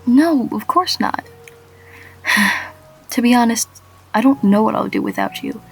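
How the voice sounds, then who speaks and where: A woman's voice: on the high side, expressive, unhurried, slightly rough, full character voice, rough recording. A woman playing an anxious, guarded young shop worker, narrating a radio drama in a wry, confessional way. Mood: hesitant, awkward.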